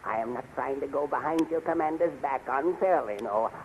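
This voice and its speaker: An actor playing a scheming older majordomo, formal and obsequious, in a 1930s radio serial. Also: male voice, mid-range voice, fairly quick, natural voice. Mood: earnest, urging. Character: scheming, flattering older male courtier and majordomo. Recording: noisy 1930s radio recording.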